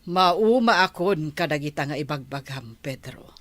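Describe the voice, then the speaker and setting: A woman's voice: sits low, lively intonation, normal speed. A Filipino woman playing a fed-up older village mother who nags and admonishes, in a radio drama. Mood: scolding. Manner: nagging, admonishing. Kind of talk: Ilocano radio drama acting.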